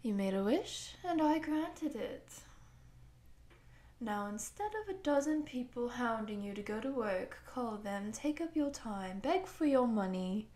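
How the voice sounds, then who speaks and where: A woman's voice: fairly high pitch, mellow. A woman voicing an obsessive, sadistic giant woman doting on a tiny captive, teasing and possessive in an intimate ASMR audio roleplay, often dropping toward a whisper. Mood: possessive, tender.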